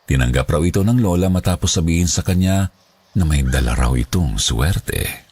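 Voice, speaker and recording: A man with a Filipino accent narrating a scripted first-person horror story in a dramatic, deliberate way. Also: low-pitched, expressive, darkish tone, slightly rough, no echo. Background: background music.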